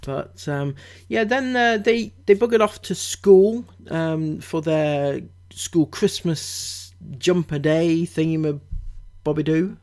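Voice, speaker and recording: A man's voice: fairly high pitch, slow pace, darkish tone. A man with a cold, giving a mocking, chatty commentary as a vlogger, full of asides and fillers. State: has a cold. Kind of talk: sarcastic commentary.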